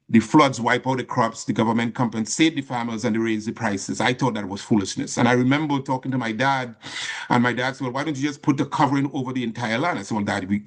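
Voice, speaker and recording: Middle-aged trinidadian guy, earnest guest talk, leans on words slowly, keeps restarting, mid pitch. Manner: earnest, emphatic, with frequent restarts and slow stressed phrases. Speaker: guest speaker. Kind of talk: personal motivational talk.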